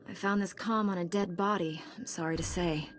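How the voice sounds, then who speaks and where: A woman playing a composed, resolute knight heroine in a video game, calm and direct. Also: fairly low pitch, fairly quick, slight background noise, close to an everyday voice. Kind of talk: video game cutscene acting.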